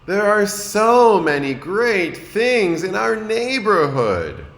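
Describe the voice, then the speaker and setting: A man's voice: high voice, very animated, takes it slowly, a bit bright, pitched above the performer's own voice, full character voice. A man voicing an earnest, indecisive young turtle boy in a children's storybook, with a wondering, childlike delivery. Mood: unsure, glum. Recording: roomy echo, noticeable background noise.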